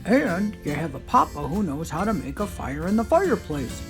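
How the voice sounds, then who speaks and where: A woman voicing a calm, reassuring father bear who explains things without hurry in a children's storybook. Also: fairly low pitch, over music. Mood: proud. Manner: explanatory, unhurried.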